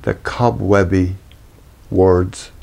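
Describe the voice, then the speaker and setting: An Irish man reading his own poetry in a measured, deliberate way, pausing between short phrases. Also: sits low, steady tone, vocal fry, darkish tone. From Ireland. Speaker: poet reading own work.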